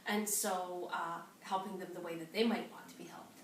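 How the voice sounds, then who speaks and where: A woman's voice: fairly low pitch, fairly even intonation, normal speed. Woman singer chatting in a roomy space. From Canadian Maritimes. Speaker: singer and actor, interview guest. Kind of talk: casual conversation. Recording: roomy echo, distant mic, faint noise.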